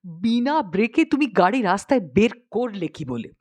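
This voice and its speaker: A woman's voice: mid-range voice, sing-song, normal speed, darkish tone. A woman voicing an exasperated, reproachful father in a comic story. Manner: scolding, reproachful. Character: stern, exasperated middle-aged father.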